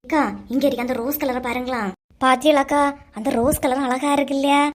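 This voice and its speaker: A woman's voice: high-pitched, steady tone, brisk. A woman voicing a grumbling, easily offended middle-aged village woman in a cartoon, with a Kanyakumari Tamil accent. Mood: admiring. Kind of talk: cartoon comedy dialogue.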